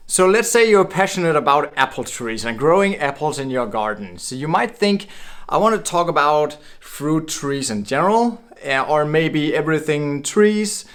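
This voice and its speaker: A man from Denmark giving a casual, upbeat explainer to camera, chatty and chuckling now and then. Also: medium pitch. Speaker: blogging course creator.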